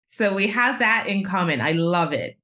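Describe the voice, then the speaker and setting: A woman's voice: medium pitch, expressive, moderate pace, pushed and bright. A woman from Bermuda who hosts a podcast, reads an introduction and asks questions in an upbeat, welcoming way. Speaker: podcast host. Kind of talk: podcast interview, scripted introduction.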